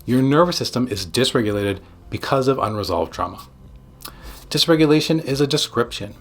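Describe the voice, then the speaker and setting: A man with a medium-pitched voice that has some husky grain delivers a scripted educational explainer. He speaks like a clinician teaching viewers, in measured, short phrases. Key terms are set apart with deliberate emphasis. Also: expressive. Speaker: psychiatrist presenting an explainer video.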